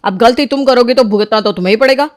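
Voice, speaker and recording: A woman voicing a bossy, sarcastic young husband in an animated story, scolding his wife. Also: fairly high pitch, fast talker, no echo, studio quality, lightly acted.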